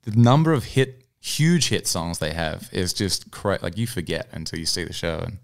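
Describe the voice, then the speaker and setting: A man's voice: low-pitched, animated, moderate pace, creaky. A man speaking as an interview guest, a singer-songwriter telling anecdotes in an easygoing, conversational way. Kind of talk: casual interview chat, anecdotes.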